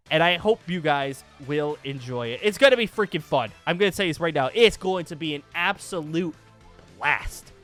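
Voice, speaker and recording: Bright, high-pitched excitable man with music behind. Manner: excitable, rambling, emphatic. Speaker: YouTube channel host. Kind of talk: casual gaming channel update. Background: background music.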